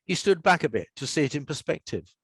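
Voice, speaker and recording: An older man with an English accent, talking reflectively and reading aloud as an author in a measured way. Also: fairly high pitch, expressive, a bit bright, slightly rough, no room sound. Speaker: author and radio scriptwriter. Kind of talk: relaxed talk and reading aloud from own story.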